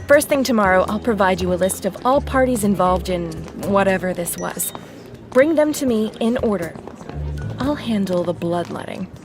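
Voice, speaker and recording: A woman playing a cool, shrewd deputy mayor in an audio drama, speaking with crisp, sardonic authority. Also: mid-range voice, lively intonation, normal speed, over music, close to an everyday voice. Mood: commanding.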